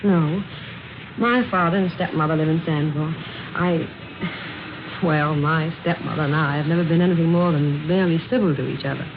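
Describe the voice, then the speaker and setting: A well-mannered but proud young job seeker in a vintage radio play. Her voice is low for a woman, guarded and gently wry. The old recording is muffled, with clear noise. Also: fairly even intonation, normal speed. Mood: guarded, curious. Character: polite, proud, jobless, hungry young woman. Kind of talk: 1940s radio drama acting.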